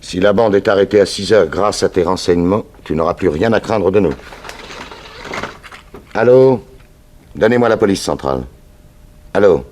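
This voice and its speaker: A man playing a private detective with a low voice. He is calm and in command, speaking in a brisk, businesslike way in the manner of a 1960s French radio crime serial. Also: a little hiss or hum, lightly acted. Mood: businesslike, assured.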